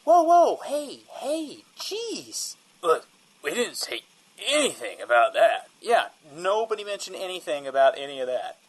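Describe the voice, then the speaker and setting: A man's voice: high voice, huge pitch swings, a little slow, full character voice, rough recording. A man playing a shifty, defensive field reporter in a comedic audio drama, rambling and repeating himself evasively.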